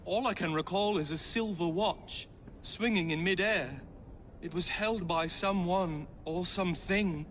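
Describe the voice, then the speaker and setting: A haunted petty thief in a game cutscene. His voice is high and bewildered, protesting that he is innocent. Clear ambient noise surrounds him. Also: a little slow, a bit bright. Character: bewildered jailed thief claiming demonic control.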